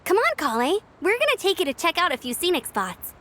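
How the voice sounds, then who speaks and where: A woman's voice: extremely high pitch, huge pitch swings, brisk, very noisy, full character voice. A woman voicing a perky, outgoing young scout in a video game, eager, friendly and chatty. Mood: cheerful. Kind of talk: video game character voice acting.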